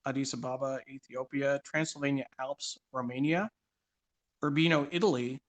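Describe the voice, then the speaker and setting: A man hosting a casual interview show, conversational and halting as he asks questions and reads things out. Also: mid-range voice, fairly even intonation. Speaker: guest host of a live read-along show. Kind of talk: casual interview, reading a list aloud with filler pauses.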